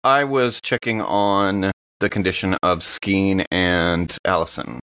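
A man's voice: low voice, fairly even intonation, slow, sharp tone, no room sound, highs cut off. A man playing a tabletop game, chatting casually about what his character does.

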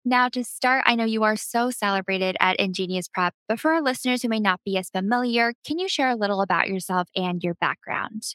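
A woman's voice: mid-range voice, a little fast, hardly any pauses, a bit bright, dry recording. A woman hosting a podcast, polished and upbeat, reading an intro and asking questions. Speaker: podcast host. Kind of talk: scripted podcast intro and interview.

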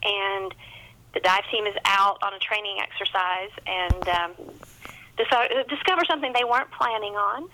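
A woman with a sharply bright, average-pitched voice speaks as a guest author in a casual interview. She is chatty and self-deprecating, explaining things in an informal, conversational way. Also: normal speed, slight background noise, rough recording.

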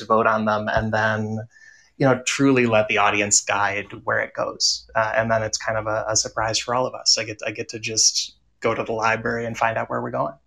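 Male panelist, fairly low voice, casual and conversational, working out thoughts as he speaks. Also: gravelly, fairly bright tone, rough recording. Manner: conversational, thinking aloud with repeats. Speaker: podcaster on a panel. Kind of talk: casual unscripted panel talk.

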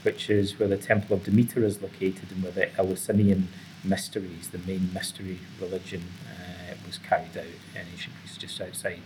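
A man's voice: deep voice, little pitch movement, fairly quick. A middle-aged Scottish man giving explanatory, academically phrased answers as an interview guest. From Scotland. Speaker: interview guest, author and psychotherapist. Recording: clearly noisy background ambience.

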